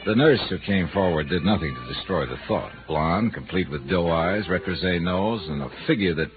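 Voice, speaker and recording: An actor playing a wry, worldly insurance investigator with clipped hardboiled delivery in a 1950s radio drama. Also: male voice, low voice, lively intonation, normal speed, over music. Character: wry, worldly male freelance insurance investigator narrating his case. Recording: muffled, band-limited 1950s radio recording.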